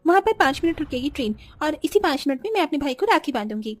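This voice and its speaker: Woman as a high-voiced earnest young seamstress. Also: big pitch swings, fast talker. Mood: eager, polite. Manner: polite, pleading. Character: earnest young village seamstress, eager sister. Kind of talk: animated story dialogue.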